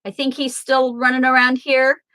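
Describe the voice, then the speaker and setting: A woman's voice: high-pitched, flat delivery, moderate pace, pushed and bright. A woman from Southern Illinois hosting a tarot livestream, chatting casually and answering viewer comments aloud. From Southern Illinois, USA. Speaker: tarot reader and livestream host.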